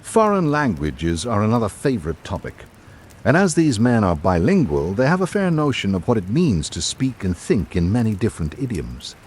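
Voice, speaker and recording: An actor playing a reflective literary traveller, narrating a radio drama in a measured, formal way. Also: male voice, on the low side, big pitch swings, a little mellow, slight background noise. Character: reflective literary traveller recalling island life. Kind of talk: scripted first-person narration.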